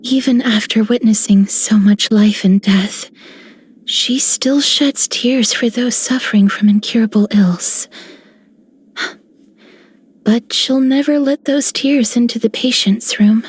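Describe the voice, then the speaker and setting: A breathy, solemn young priestess. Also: female voice, fairly high pitch, slow, darkish tone. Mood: solemn, tender. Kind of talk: scripted game character lines.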